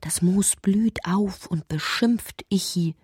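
A woman narrating a literary audio drama in a breathy voice that often drops toward a whisper, reciting deliberately and poetically. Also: medium pitch, a little mellow, dry recording, clean studio sound.

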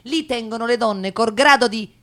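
A woman's voice: on the high side, moderate pace, a bit bright, lightly acted. An actress playing an outspoken, indignant young working-class woman, arguing emphatically in a radio drama. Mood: knowing, indignant. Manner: emphatic, argumentative, colloquial. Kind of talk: naturalistic Italian radio drama acting.

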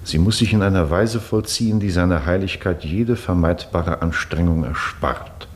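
Very deep, formal monsignor played by a man. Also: natural voice. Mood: grave, formal. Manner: formal, measured, authoritative. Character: dignified, stern Vatican monsignor. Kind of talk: radio play acting.

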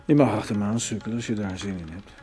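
A brooding, short-tempered man performed by an actor in a Dutch radio play. His voice is on the low side and his delivery is terse and pointed. Music plays faintly underneath. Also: fast, mellow, close to an everyday voice. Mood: gloomy. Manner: terse, emphatic. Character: curt, brooding, irritable man, grimly serious.

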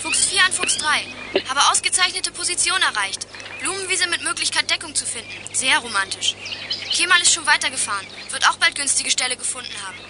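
A woman's voice: high-pitched, huge pitch swings, a little fast, noisy background. A woman playing a spirited girl detective who gives telegram-style radio reports in a German youth radio play. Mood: dreamy, brisk.

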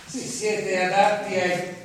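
A man's voice: high-pitched, little pitch movement, takes it slowly, crowd noise. An actor playing a smug male job-agency recruiter, talking in corporate jargon and chuckling, in a live stage play captured from far off with echo and noise. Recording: distant echoey stage with noise.

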